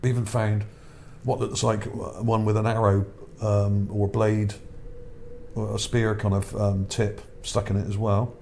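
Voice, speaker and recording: Low, mellow-voiced man, tiring voice. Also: flat delivery, a little slow, vocal fry, a little hiss or hum. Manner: rambling, repeats words, checks in with "okay?". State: voice starting to go. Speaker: channel host. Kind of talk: casual narration over images, reading his article.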